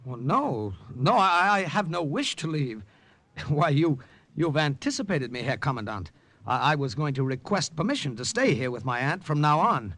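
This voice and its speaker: An actor playing an undercover American agent, narrating a 1950s radio drama in terse, suspenseful style. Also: male voice, fairly high pitch, sing-song, normal speed, fairly bright tone, exaggerated voice. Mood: nervous, placating. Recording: vintage 1950 radio recording, music behind.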